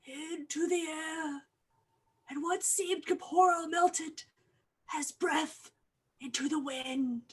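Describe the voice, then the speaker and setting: A woman's voice: high voice, steady tone, slow, long pauses. A woman playing a grave, resolute doctor in a Shakespearean staged reading, speaking in solemn, declamatory verse. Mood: grave wonder.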